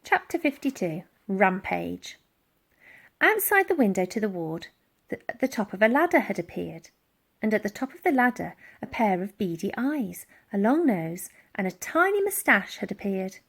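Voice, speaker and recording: Lively woman reading a children's story. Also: mid-range voice, normal speed, gravelly, slightly rough. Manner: dramatic, with acted-out sound words. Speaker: audiobook reader. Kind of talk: expressive children's storybook narration.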